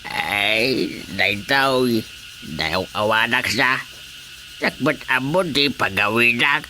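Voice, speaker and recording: A man playing a feeble, confused old village man with an Ilocano accent and a halting, stammering delivery in a radio comedy-drama. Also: medium pitch, expressive, pushed and bright, slight background noise, full character voice. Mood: amused, meandering.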